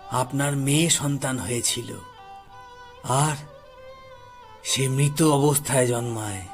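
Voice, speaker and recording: A man acts out an old village grandfather, grave and wronged, in an audio horror drama. He speaks very slowly with halting pauses in a fairly high male voice, weighted with grief and accusation, over clear background music. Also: darkish tone, close to an everyday voice. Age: older. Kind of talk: audio horror story acting.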